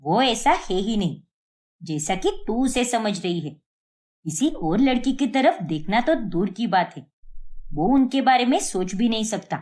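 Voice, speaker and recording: A woman's voice: on the high side, very animated, unhurried, darkish tone. A woman playing a stern older mother-in-law in a cartoon moral story, scolding and dismissive as she defends her son. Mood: indignant, defensive.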